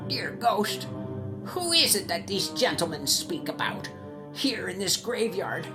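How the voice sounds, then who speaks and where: Storybook old miser: high, very animated, imploring, fretful. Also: male voice, music in the background. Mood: pleading. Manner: imploring, drawn-out delivery.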